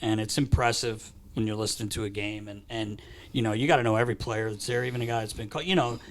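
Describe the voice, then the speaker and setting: A man's voice: fairly low pitch, fairly even intonation, fast talker. A man hosting a podcast in casual, blokey banter, repeating himself for emphasis. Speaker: podcast host, former athlete. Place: studio.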